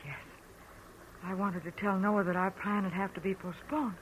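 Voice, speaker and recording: A woman's voice: medium pitch, fairly even intonation, moderate pace, close to an everyday voice. Actress as an anxious, hesitant young woman. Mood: defensive, worried. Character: anxious, secretive young woman caught in a scheme. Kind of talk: 1940s radio drama acting. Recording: noisy old-time radio recording.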